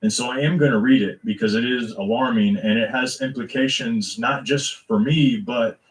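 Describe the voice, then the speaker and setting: A man's voice: medium pitch, steady tone, normal speed, poor audio. A man hosting an online show, addressing his viewers earnestly before reading them a letter. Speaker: channel host.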